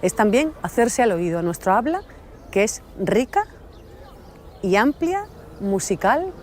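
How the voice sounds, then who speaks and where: Proud Andalusian actress, emphatic. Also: female voice, medium pitch, big pitch swings, measured pace, darkish tone, slight background noise. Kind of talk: interview talk.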